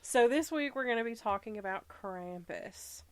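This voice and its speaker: A woman with a Southern US accent hosting a podcast. She reads from her researched notes and breaks off for relaxed, chatty asides, stumbling now and then and laughing at herself. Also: on the high side, moderate pace, no room sound. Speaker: podcast host.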